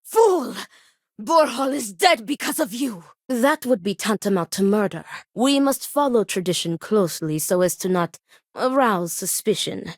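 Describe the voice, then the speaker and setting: Game casting: stern, weary, principled woman, formal diction, very animated pitch, dry close sound. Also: no room sound. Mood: angry, exasperated. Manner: formal, measured, stern phrasing without contractions. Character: grave, principled woman acting as duel second, devout, weary. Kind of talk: video game character dialogue.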